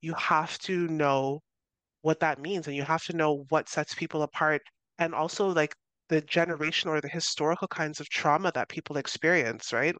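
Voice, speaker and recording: A woman's voice: sits low, moderate pace, fairly bright tone, dry recording. A Canadian woman in her thirties, a guest researcher giving long, earnest answers in an interview, peppered with "you know" and self-corrections. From Toronto, Canada.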